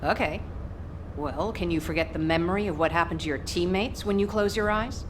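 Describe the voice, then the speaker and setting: A woman voicing a seasoned, caring former captain in a video game, gentle and earnest. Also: fairly low pitch, brisk, noisy background, close to an everyday voice. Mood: concerned.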